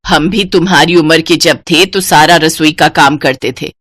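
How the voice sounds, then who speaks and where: An elderly Indian mother-in-law played by a woman storyteller, fussy and critical. Her voice sits lower, with a bossy, complaining way of giving orders. It is a moral-story reading with very little room sound. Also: steady tone, moderate pace, fairly bright tone, no room sound. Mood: scolding, dissatisfied.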